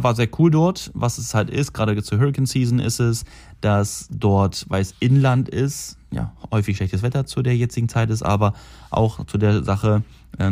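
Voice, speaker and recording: Low, mellow-voiced man rambling casually. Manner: rambling, self-correcting, frequent fillers. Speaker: podcast host. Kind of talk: casual solo podcast talk. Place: holiday rental in Florida.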